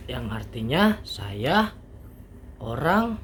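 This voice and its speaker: A man giving an informal language lesson as a teacher, explaining haltingly step by step with casual asides. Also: mid-range voice, big pitch swings, a bit bright. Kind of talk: informal online grammar lesson. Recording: faint background noise.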